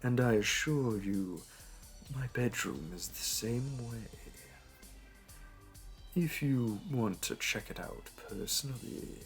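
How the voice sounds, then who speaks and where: A man's voice: mid-range voice, slow, faint music behind. A man playing a suave, flirtatious supervillain in a roleplay audio drama, smug, teasing and theatrical. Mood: smug flirtation. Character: smug, flirtatious male supervillain courting a hero.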